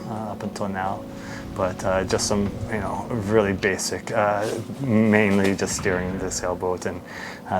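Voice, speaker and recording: A man from Virginia, a sailing trainee speaking in an interview in a halting way with many fillers. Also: sits low, very noisy. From Virginia, USA. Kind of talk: interview testimony.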